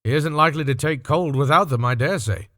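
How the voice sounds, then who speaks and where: A man's voice: a little high, a little fast, a bit bright, no room sound, clean studio sound, voice pushed up, close to an everyday voice. A man voicing a bold, callous working-class woman thief in a storybook, with a brash, scornful, colloquial manner. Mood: brazen, callous.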